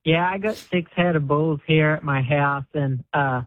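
A man in his twenties with an Australian accent, a guest talking in a relaxed, modest way with many fillers over the phone. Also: a little high, unhurried, no room sound. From Queensland, Australia. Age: 20s. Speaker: guest bull rider. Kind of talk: phone interview on radio. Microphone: phone line. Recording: tinny phone line.

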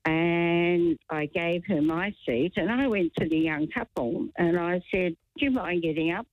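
A woman's voice: fairly low pitch, unhurried, dry recording. A woman in her seventies calls in over a tinny phone line, chatty and indignant. Her voice comes through dry and thin. She rambles along with cheerful self-mockery. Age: 70s. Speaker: radio caller. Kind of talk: phone-in chat. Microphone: telephone.

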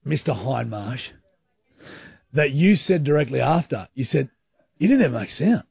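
A man hosting radio, bantering in a lively, teasing way. His voice is gravelly and a bit husky, and his pitch swings widely. The sound is dry and close to the mic. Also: normal speed, no echo. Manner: teasing, animated. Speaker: radio host. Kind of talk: playful banter.

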